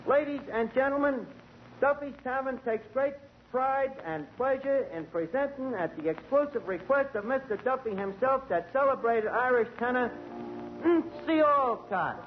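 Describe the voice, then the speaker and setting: A man's voice: very high-pitched, moderate pace. A man, spinning a tall tale and fumbling big words as the lead of a 1940s radio comedy. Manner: tough-guy storytelling with malapropisms. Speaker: tavern manager character, lead comic. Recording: dull 1940s radio broadcast with faint hiss.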